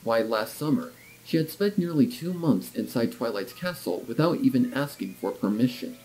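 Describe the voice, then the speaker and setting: A man's voice: mid-range voice, expressive, normal speed, a little mellow, slight background noise, poor audio. A man reading scripted story narration as the narrator of a tale.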